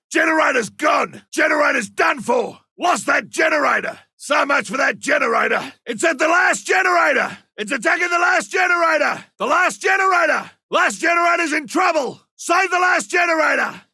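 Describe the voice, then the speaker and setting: A battle-hardened lone monster hunter from a video game, with a raspy voice pitched on the high side. He is blunt and wry, very sharp and forceful in tone, and swings widely in pitch. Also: no echo, studio quality, heavily acted. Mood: alarmed, shouting. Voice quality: gravelly, raspy. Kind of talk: video game combat callouts.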